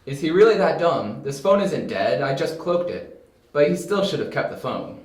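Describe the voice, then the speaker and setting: A man's voice: fairly high pitch, sing-song, moderate pace, darkish tone. A man playing a detective's easygoing, tech-savvy brother in an amateur audio drama, dry and unruffled. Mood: dryly amused. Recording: echoey room, amateur home recording.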